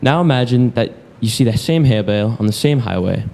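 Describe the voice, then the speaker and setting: A man's voice: medium pitch, expressive, brisk, gravelly, warm tone. A young man setting up an example in an instructive way.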